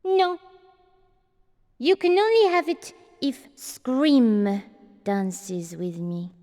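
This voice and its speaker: A woman's voice: sits high, extremely slow, warm tone, long reverb tail, soft background music. An actress playing a morbid, spoiled young aristocratic girl in a TV drama, blunt, petulant and imperious. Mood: demanding. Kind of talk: TV drama acting.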